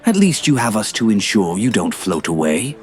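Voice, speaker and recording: A male actor plays a good-natured young man who teases his friends in a fantasy audio drama. His voice is low and very animated, with music underneath. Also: normal speed. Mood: teasing, amused.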